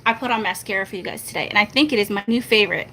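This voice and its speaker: A soft-spoken woman, chatting casually and laughing with her viewers in a rambling, self-mocking way. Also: rapid-fire, a little hiss or hum. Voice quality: soft-spoken, high-pitched. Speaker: family vlogger.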